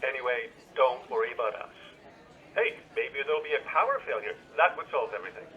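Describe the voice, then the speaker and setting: A man's voice: medium pitch, expressive, brisk. An actor playing a wry, fond middle-aged husband and father in a naturalistic radio play, heard through a telephone filter. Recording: dull, band-limited telephone line.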